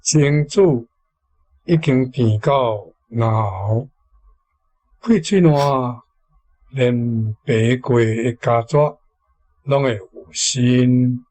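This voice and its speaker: Very slow, mellow Taiwanese man. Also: medium pitch. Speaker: Taiwanese language teacher. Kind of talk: reading out a translation deliberately.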